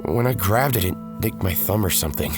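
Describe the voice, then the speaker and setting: A man's voice: sits low, expressive, fairly quick. A man playing a weary, defensive former hero pulled back into duty in an audio drama, with music behind him. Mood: startled, defensive. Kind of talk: audio drama acting with first-person narration.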